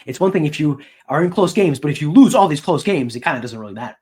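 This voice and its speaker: A man's voice: a little high, expressive, quick pace, darkish tone, slightly rough. A man giving casual sports analysis as a reporter and podcast co-host, conversational with emphatic points.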